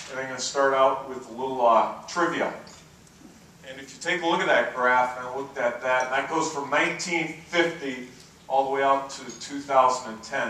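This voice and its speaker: A middle-aged man with a booming, bright voice gives a relaxed keynote, easing his stories along with "uh" fillers. He is picked up from a distance in a very echoey conference hall. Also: slight background noise. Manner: relaxed, self-deprecating storytelling with frequent "uh" fillers. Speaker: keynote speaker.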